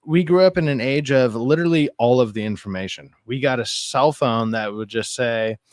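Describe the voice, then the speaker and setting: Man, thirties, livestream host, casual opinionated chat, exasperated delivery, average pitch, very dry sound. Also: normal speed, darkish tone, no echo. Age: 30s. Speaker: host of a livestream talk show.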